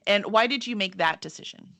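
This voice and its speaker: A woman hosting as a publisher in an informal, chatty and expressive way. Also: medium pitch, a little fast, gravelly. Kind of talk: casual hosting and interviewing, with read-aloud book copy.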